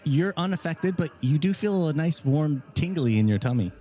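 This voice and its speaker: A man running a tabletop game as game master, setting scenes in a steady, descriptive way. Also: a little high, normal speed.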